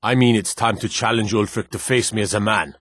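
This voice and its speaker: An actor playing a gruff, proud Nordic warrior and guard in a fantasy video game, speaking in a firm, emphatic, declamatory way. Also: male voice, fairly low pitch, fairly even intonation, fairly quick, fairly bright tone, a little hiss or hum, close to an everyday voice. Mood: indignant, resolute. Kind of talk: dramatic video game dialogue.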